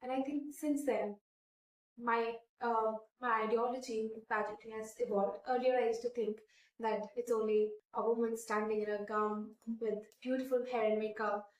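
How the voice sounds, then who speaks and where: A woman's voice: a little high, fairly even intonation, normal speed, reverberant, recorded from a distance, low-quality recording. A woman in her twenties from India whose first language is Hindi, earnestly answering interview questions with many fillers and restarts. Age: 20s.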